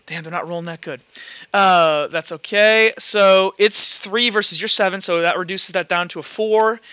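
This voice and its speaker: A man's voice: high voice, steady tone, normal speed, bright, forceful tone, clear, resonant tone, dry recording. A man acting as game master in an online roleplay session, narrating vividly and checking rules in an informal way. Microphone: online voice call. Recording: dull online voice-chat audio with music behind. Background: music.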